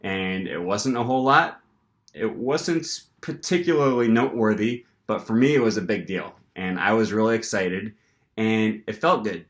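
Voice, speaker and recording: A man's voice: lowish voice, moderate pace. Young man telling a story to camera. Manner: animated, lots of fillers and restarts. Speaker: language-learning vlogger.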